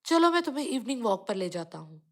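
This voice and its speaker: A woman's voice: on the high side, very animated, quick pace, a little hiss or hum. A woman voicing an easygoing, busy city uncle in a cartoon, talking casually and reassuringly.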